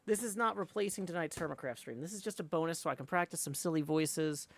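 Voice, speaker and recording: A man hosting a live game stream in a casual, rambling way, with a creaky voice. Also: on the high side, quick pace, a little hiss or hum. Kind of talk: casual livestream chat.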